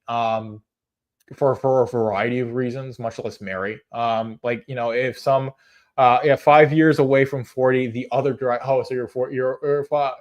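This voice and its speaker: A young man hosting a casual live stream, talking at a middling pitch for a man. He rambles, stops and restarts often, and fills gaps with ums as he answers chat. His tone stays earnest, with a soft amusement at the jokes. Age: 20s. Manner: rambling, hesitant, many fillers and restarts. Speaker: livestream host.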